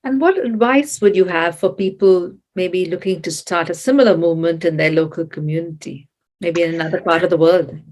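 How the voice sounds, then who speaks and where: A woman's voice: fairly low pitch, fairly quick, a little mellow, poor audio. A woman hosting a podcast, asking her guest questions with upbeat, encouraging reactions. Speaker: podcast host. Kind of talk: interviewing a guest.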